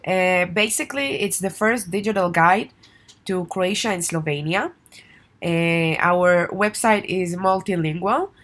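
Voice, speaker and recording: A woman's voice: on the low side, unhurried, fairly bright tone. Chatty woman, Hebrew native speaker. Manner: chatty and informal, with many fillers. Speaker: travel guide author and tour operator. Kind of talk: casual promotional vlog.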